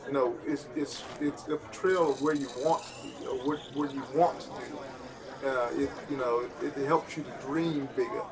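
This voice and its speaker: A man's voice: mid-range voice, steady tone, moderate pace, distant mic, crowd noise, poor audio. A man giving his views casually as a panelist in a group discussion.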